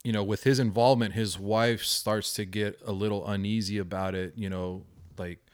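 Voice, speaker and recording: A man's voice: on the low side, steady tone, moderate pace, no room sound. A man co-hosting a podcast, discussing a film in a casual, explanatory way. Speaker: podcast co-host.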